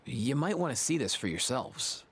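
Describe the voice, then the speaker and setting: A man's voice: medium pitch, very animated, moderate pace, fairly bright tone, slight background noise. A man acting as a laid-back, cautious young vet in a video game, with a casual, dry and slightly hesitant delivery. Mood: careful, puzzled. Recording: music under the voice.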